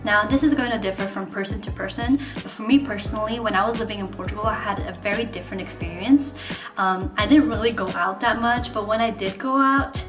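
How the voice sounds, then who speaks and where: A woman's voice: mid-range voice, a little fast. A woman in her twenties, not a native English speaker, talking frankly and casually to the camera as a student vlogger in her bedroom. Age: 20s. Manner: chatty, frank, many fillers. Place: bedroom, indoors. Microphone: camera in front at desk distance. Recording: roomy bedroom with music behind.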